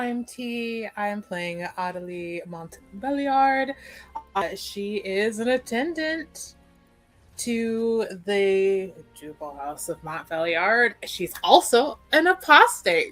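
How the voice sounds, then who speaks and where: Female roleplay player, unhurried casual delivery, higher-pitched, soft music underneath. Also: poor audio. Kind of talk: casual chat introducing a character.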